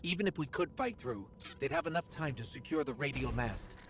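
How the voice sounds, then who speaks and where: A man playing a small, earnest, eager robot companion in a video game, expressive and inquisitive. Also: medium pitch, a little fast, noisy background, natural voice. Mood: alert.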